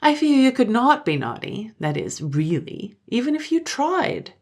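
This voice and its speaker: A woman's voice: fairly high pitch, sing-song, a little slow, darkish tone, voice pushed up, exaggerated voice. A woman voicing a cheerful, unconcerned village girl who teases in a breezy, offhand way. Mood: teasing.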